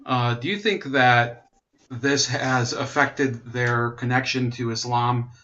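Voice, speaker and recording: A man's voice: medium pitch, takes it slowly, pushed and bright, lo-fi sound, slight background noise. A man interviewing a guest, asking long, rambling questions. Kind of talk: conversational interview.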